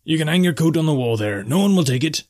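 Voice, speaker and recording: A jovial, stout tavern keeper from a fantasy audiobook, acted by a male narrator. His voice is pitched up and hearty, and he speaks in exclamations like a welcoming host. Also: lively intonation, quick pace, a little mellow. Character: hearty, tall, stout bartender of a northern town, friendly. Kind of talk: fantasy audiobook character dialogue.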